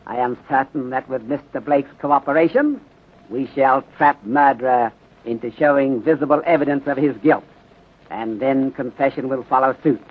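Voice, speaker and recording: Through a narrow, muffled 1930s radio recording comes a courteous Chinese detective played by a man. He reasons calmly in formal, clipped sentences, pausing with care. The voice is middling in pitch and slightly rough. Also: lightly acted. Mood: polite, assured. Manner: formal clipped phrasing with dropped articles, deliberate pauses. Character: courteous, methodical Chinese male detective inspector, calm and deliberate. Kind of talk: 1930s radio drama acting.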